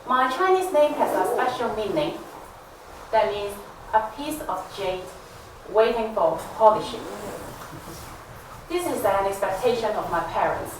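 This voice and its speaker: Slow woman, echoey hall. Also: mid-range voice, expressive, noisy background. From Macau. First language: Cantonese. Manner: slow, gentle, reflective storytelling. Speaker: artist and storyteller. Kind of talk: live storytelling performance. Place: venue hall with an audience. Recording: echoey hall from far off with music. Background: instrumental music.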